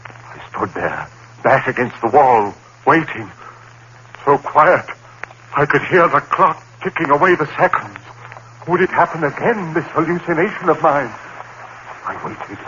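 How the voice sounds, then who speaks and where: Vintage radio drama: a haunted academic, bright and lively voice, dull hissy broadcast sound. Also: male voice, on the high side, measured pace, pauses often. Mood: brooding. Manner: dramatic first-person narration, brooding. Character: haunted male professor, rational and frightened. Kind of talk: 1940s radio acting. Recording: dull old radio broadcast with faint hiss.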